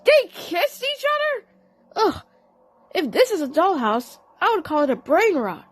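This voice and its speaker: A woman's voice: very high voice, huge pitch swings, a little slow, no echo, heavily acted. A woman voicing a male toy panda sidekick in an audio play, easily disgusted and given to dramatic exclaiming.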